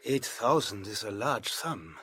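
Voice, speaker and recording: Actor as husky, fawning pawnbroker. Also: male voice, lowish voice, expressive, unhurried, bright, forceful tone, exaggerated voice. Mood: fawning, eager. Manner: fawning, flustered, over-polite. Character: obsequious, greedy pawnbroker fawning on nobility. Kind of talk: period audio drama acting.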